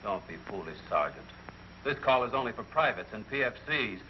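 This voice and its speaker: An actor playing a curt, dry army captain who speaks with brisk authority in an old film. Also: male voice, on the low side, sing-song. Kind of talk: mid-century film acting. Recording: dull old film soundtrack, faint noise.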